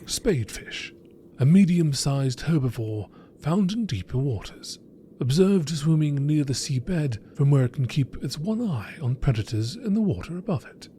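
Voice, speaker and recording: A man reading scripted nature documentary narration in a measured, expository manner. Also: fairly high pitch, expressive, warm tone, slight background noise. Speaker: narrator reading game text.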